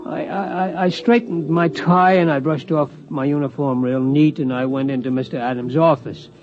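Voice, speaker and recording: An actor playing a timid, folksy old security guard, rambling and hesitant, in 1950s radio drama style. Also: male voice, a little high, fairly even intonation, normal speed, natural voice. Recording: muffled vintage radio recording, faint music.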